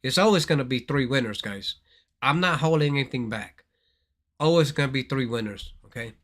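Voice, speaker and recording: A man's voice: mid-range voice, expressive, brisk, fairly bright tone. A man from Thailand hosting a casual gaming livestream, rambling and repeating his words as he talks straight to his viewers.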